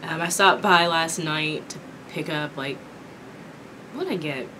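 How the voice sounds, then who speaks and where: A young woman from Kentucky chatting casually while eating, her voice raspy from a sore throat, rambling with many ums. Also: deep voice, unhurried, background noise. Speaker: vlogger. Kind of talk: casual mukbang chat. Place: small indoor room with dark curtain backdrop.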